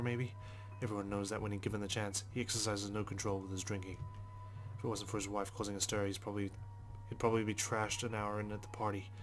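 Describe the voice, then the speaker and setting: A man reads a visual novel's narration aloud in a dry, understated style. His voice is lowish and flat, moving quickly over faint background music. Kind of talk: reading narration aloud in a let's play.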